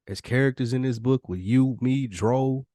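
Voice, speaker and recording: A man's voice: medium pitch, moderate pace, mellow, no room sound, studio quality. An American man chatting casually as a podcast co-host, emphatic and given to repeating his phrases.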